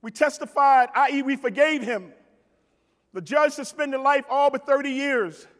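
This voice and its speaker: A man's voice: extremely high pitch, a little slow, a bit bright. A middle-aged American man giving a motivational keynote, telling stories emphatically, repeating phrases and addressing his listeners directly. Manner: emphatic, with repetition for effect and direct address to the audience. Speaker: keynote speaker. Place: on stage in a large convention hall. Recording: reverberant large hall PA sound.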